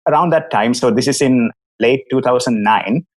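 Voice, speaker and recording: A man's voice: mid-range voice, normal speed. A man in his thirties from Sri Lanka with a Sri Lankan accent, telling his background candidly as a podcast guest, with wry anecdotes. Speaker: guest, app company founder. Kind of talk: relaxed podcast storytelling.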